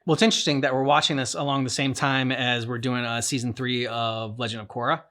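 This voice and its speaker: A man hosting a reaction show, talking casually about a game's story in a halting, rambling way as he searches for words. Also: medium pitch, steady tone, a bit bright.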